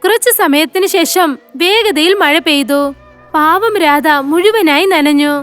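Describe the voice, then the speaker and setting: A woman's voice: very high voice, takes it slowly, a bit bright, faint music behind. A woman narrating a scripted moral story for children.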